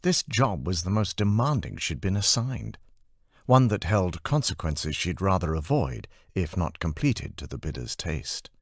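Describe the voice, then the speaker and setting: A man reading fiction as an audiobook narrator in an expressive, scripted storytelling style. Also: low voice, unhurried, no echo, clean studio sound.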